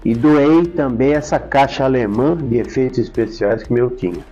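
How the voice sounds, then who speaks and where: A man's voice: mid-range voice, moderate pace, dark tone, highs cut off, soft background music. An older man with a Brazilian Portuguese accent, an interview guest telling and explaining memories in a casual way. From São Paulo, Brazil. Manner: explanatory storytelling. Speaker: interview guest, professor and author.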